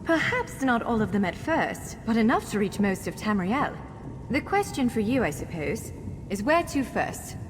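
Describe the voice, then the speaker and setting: A woman's voice: fairly high pitch, very animated, moderate pace, background noise. A woman playing an earnest, encouraging female elf scholar who guides a hero in a fantasy video game. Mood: awed. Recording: long hall reverb, faint music.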